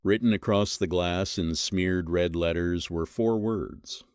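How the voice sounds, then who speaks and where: A man reading a scripted horror mystery as narrator, in measured, suspenseful phrases that are short and dramatic. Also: deep voice, fairly even intonation, clear, resonant tone, dry recording, studio quality.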